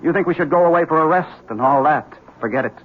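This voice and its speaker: An actor playing a bitter, obsessed husband in a 1970s radio drama, sardonic and intense, on a tinny recording. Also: male voice, fairly high pitch, fast, husky. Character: obsessed, bitter husband convinced his wife is evil.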